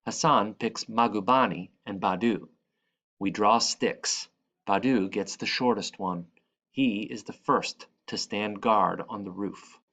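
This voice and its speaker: A man reading a children's picture book aloud, expressively, with dramatic and deliberate phrasing. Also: lowish voice, a bit bright. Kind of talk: expressive read-aloud narration.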